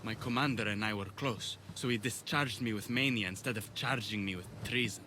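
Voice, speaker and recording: A man's voice: fairly low pitch, brisk, noisy background, close to an everyday voice. A man acting a troubled ancient Greek war veteran in a video game, earnest and vulnerable in his delivery. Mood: sorrowful confession.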